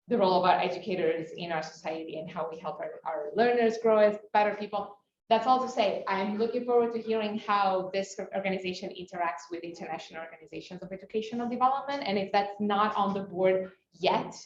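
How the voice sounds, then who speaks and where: Woman from italy chiming in at a conference, friendly, echoey dull room sound. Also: lowish voice. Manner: earnest, friendly, some fillers. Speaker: conference participant. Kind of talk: unscripted audience comment.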